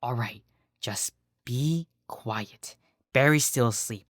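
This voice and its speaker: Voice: medium pitch, slow pace, fairly bright tone, no room sound, clean studio sound. A performer voicing a nervous, exasperated young female cartoon unicorn who is easily flustered and scolding.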